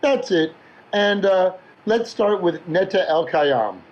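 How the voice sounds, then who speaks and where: A man moderating an online panel discussion, introducing the event and guests with measured, emphatic phrasing. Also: sits high, expressive, lo-fi sound. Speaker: discussion host.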